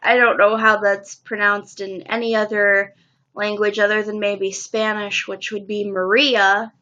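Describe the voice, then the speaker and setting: A woman hosting a casual livestream chat, who speaks softly and hesitantly, with frequent pauses. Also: mid-range voice, little pitch movement. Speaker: livestream host. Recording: dull lo-fi audio.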